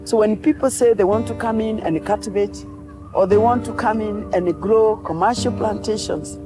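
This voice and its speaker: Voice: medium pitch, moderate pace, over music, natural voice. An actor playing a defiant forest defender in a radio play, speaking in solemn, resolute declarations. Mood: grave warning. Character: defiant forest defender ready to shed blood. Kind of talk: dramatic radio play monologue.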